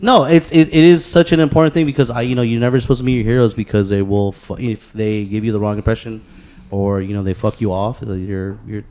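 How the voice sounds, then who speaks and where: A man's voice: fairly low pitch, fairly even intonation, fairly quick, a little mellow. A man hosting a casual podcast, talking in a rambling, informal way with plenty of swearing and slang. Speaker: podcast host.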